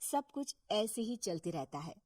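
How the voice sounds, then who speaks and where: A woman narrates a scripted cartoon moral story. Her pitch is average for a woman, and the recording is dry and close, with no room around it. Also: big pitch swings, a little slow, darkish tone, no room sound.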